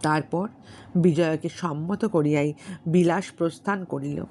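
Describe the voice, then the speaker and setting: A woman's voice: deep voice, unhurried, a little mellow, a little hiss or hum. A woman reading a literary story aloud as an audiobook narrator, in scripted narration.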